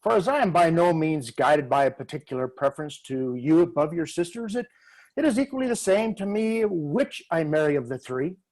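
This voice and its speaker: A man reading the part of a stiff, pompous gentleman suitor over a video call, formal in his phrasing. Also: on the high side, expressive, moderate pace, rough recording. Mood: haughty. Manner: stiff, formal, with small stumbles. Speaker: amateur reader in a dramatic reading.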